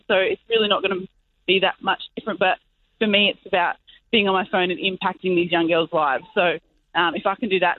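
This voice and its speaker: An upbeat young Australian woman talks fast and freely over a tinny phone line. Her voice is fairly low for a woman. She fills her speech with "like" and "you know". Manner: upbeat, talkative, many "like" and "you know" fillers. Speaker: guest, professional basketball player. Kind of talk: casual interview chat.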